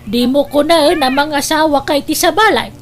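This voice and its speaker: A woman's voice: high-pitched, moderate pace, a little hiss or hum, close to an everyday voice. A woman playing an exasperated older mother in a radio drama, scolding and urging her grown son with exclamations. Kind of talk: Ilocano radio drama acting.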